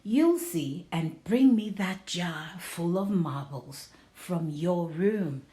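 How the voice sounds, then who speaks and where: Woman as a patient, mellow storybook mother. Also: fairly low pitch, animated, takes it slowly. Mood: mysterious. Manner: encouraging, reassuring. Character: patient, gently coaxing mother, a little mysterious.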